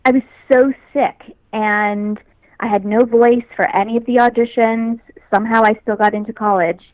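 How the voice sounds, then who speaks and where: A young adult actress with a high voice, chatting easily as an interview guest and laughing often. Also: female voice, fairly even intonation, normal speed, dry recording, phone-quality sound. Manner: easygoing, laughs often, talks about herself in a light way. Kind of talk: casual interview chat.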